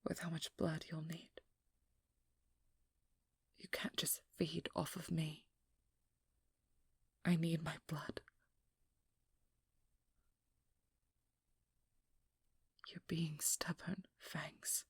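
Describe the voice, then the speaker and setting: A woman playing a determined, guarded and protective vampire hunter in an intimate ASMR role-play, hushed and often whispering, with halting, earnest delivery. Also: sits low, flat delivery, husky, no echo, exaggerated voice. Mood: stern, resigned. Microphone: close microphone in a dry room.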